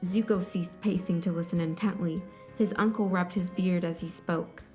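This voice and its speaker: A woman's voice: on the low side, steady tone, moderate pace, darkish tone, faint music behind. A woman reading scripted story narration as the narrator of an audio drama.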